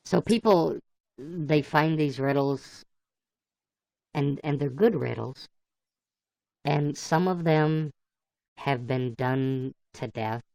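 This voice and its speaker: A man with a gravelly, voice-changed sound, chatting casually and easygoing as he hosts a livestream. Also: a little high, slow pace, pauses often, a little mellow, no echo. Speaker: livestream host playing a computer-generated fox.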